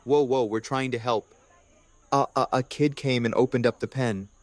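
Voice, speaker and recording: A man's voice: mid-range voice, fairly even intonation, moderate pace, dry recording, natural voice. A man playing a nervous male ex-prisoner in a fan audio drama, earnest and a little stumbling as he pleads. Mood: urgent.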